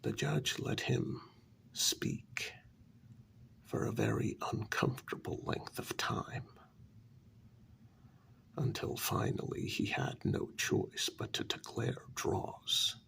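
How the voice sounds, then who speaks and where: A man playing a grave, troubled asylum staffer in a soft-spoken ASMR role-play, telling a dark story in slow, halting phrases with a breathy, gravelly half-whisper. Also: medium pitch, huge pitch swings, heavily acted. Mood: grave, measured. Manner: slow, halting, deliberate short phrases with long pauses.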